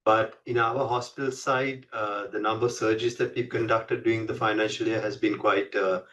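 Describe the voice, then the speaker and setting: Low-voiced Sri man, distant mic. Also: fairly even intonation, brisk, fairly bright tone. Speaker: company finance executive. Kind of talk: earnings call presentation.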